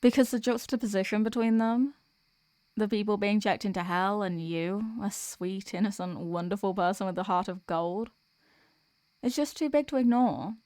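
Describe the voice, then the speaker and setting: A woman's voice: mid-range voice, moderate pace, a little mellow, no room sound, close to an everyday voice. A woman playing a sweet, weary demoness girlfriend in an ASMR roleplay, chatting affectionately and intimately to her partner. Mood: affectionate, gushing. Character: sweet, weary demoness girlfriend venting about office work.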